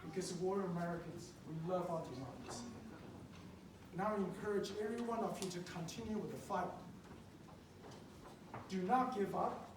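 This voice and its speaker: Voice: medium pitch, normal speed, long pauses. Echoey, impassioned public speaker from Taiwan. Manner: emotive, emphatic, repeating phrases for effect. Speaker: public speaker at a school board meeting, treats patients. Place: indoor public meeting room. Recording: distant mic in echoey, noisy meeting room.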